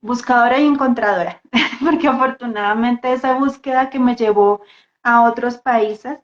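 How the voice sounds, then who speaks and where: A woman with a Bogotá Colombian accent introduces herself casually and reflectively, halting and repeating words as she goes. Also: a little high. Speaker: guest dance therapist. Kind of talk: casual personal introduction in a live talk. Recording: echoey room, dull muffled audio.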